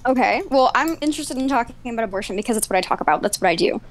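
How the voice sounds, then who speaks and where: A woman's voice: a little high, expressive, racing. A nineteen-year-old woman in a heated debate, pressing pointed questions again and again. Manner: insistent, repeating pointed questions. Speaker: debate guest.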